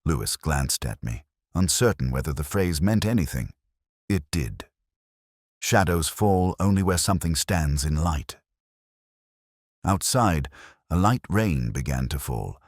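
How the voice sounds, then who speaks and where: A man playing a weary, brooding police inspector who narrates a mystery in a measured, literary, melancholy manner. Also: a bass voice, slightly rough, no echo, studio quality, heavily acted. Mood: grave, pensive. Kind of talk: scripted first-person mystery narration.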